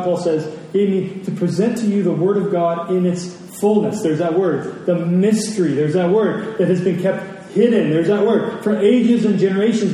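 A man's voice: sits high, warm tone. Male preacher in a very echoey hall. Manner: emphatic, repeats phrases for effect. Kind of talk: sermon to a congregation. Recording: very echoey hall, distant mic, faint noise.

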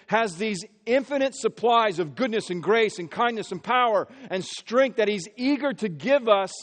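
A man's voice: high-pitched, big-room sound. A man from the Midwest, preaching an earnest sermon as pastor and often repeating words as he builds his phrases. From American Midwest.

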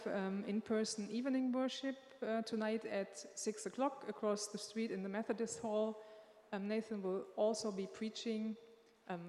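Woman, church announcer, medium pitch, hesitant with many pauses, long reverb, large church hall. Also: warm tone. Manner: halting, with many filler pauses. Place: church sanctuary.

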